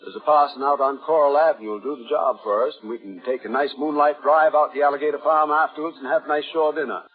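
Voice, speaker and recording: An actor playing a plain-spoken, controlling hotel keeper courting in a vintage radio drama, folksy and clipped. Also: male voice, a little high, steady tone, quick pace, slightly rough, phone-quality sound, soft background music. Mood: urgent, coaxing. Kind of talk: 1950s radio drama acting. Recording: thin, band-limited vintage radio broadcast.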